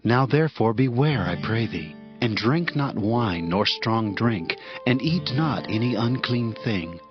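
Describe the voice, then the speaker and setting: A man playing a solemn, gentle angel in a dramatized scripture reading, making measured pronouncements. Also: mid-range voice, lively intonation, muffled recording, over music, lightly acted.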